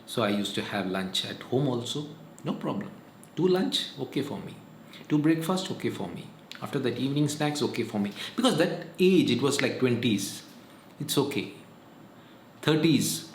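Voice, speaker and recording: A man's voice: mid-range voice, very animated, moderate pace. A man, giving earnest, repetitive health advice in a casual vlog monologue. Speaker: vlogger. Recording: echoey room, faint noise.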